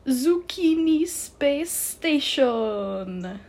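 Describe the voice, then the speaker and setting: A woman voicing a small, boastful, theatrical male fantasy creature with an exaggerated French accent, declaiming pompously and excitedly in a storybook reading. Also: high-pitched, drawn-out words, pitched above the performer's own voice, exaggerated voice. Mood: grandiose, boastful. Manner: shrieking, bouncing, pompous declamation.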